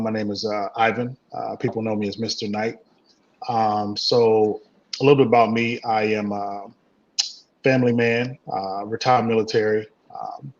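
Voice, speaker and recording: Husky low-voiced man, flat and hesitant. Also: muffled recording. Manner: hesitant, many uh and um fillers. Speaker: guest, trading community co-founder. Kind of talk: casual interview answers.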